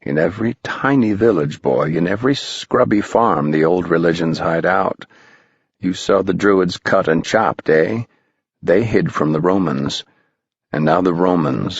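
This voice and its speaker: A mischievous old male spirit swoops through a storybook night, put on by a man reading aloud. His voice is low and husky, his old-fashioned speech slow and gleeful. The recording sounds slightly muffled and dry. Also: no echo, full character voice.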